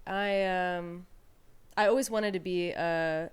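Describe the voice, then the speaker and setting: A woman's voice: lowish voice, fairly even intonation, slow pace, smooth. A woman in her thirties, from Peru, speaking candidly and reflectively as an interview guest, with frequent fillers and restarts. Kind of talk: casual conversational interview.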